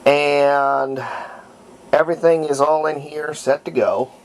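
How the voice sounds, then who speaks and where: A man's voice: a little high, little pitch movement, slow, slight background noise, low-quality recording. A man giving a casual, unscripted how-to narration as a hobbyist restorer, folksy and rambling as he thinks aloud. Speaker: hobbyist restorer presenting a repair.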